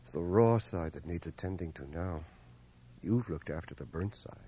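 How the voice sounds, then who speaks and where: Mellow, low-voiced earnest young man. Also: slightly rough. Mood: earnest, tender. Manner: measured, hesitant, intellectual. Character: earnest, reserved young Canadian war veteran, bookish and self-doubting. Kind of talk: 1980 radio drama acting and first-person narration. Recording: cassette transfer of radio drama, faint music.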